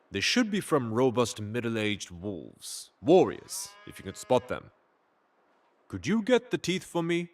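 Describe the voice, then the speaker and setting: A man playing an eager, eccentric aging inventor from the Ottoman Empire in a video game, with a theatrical, whimsical delivery. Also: lowish voice. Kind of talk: game character dialogue.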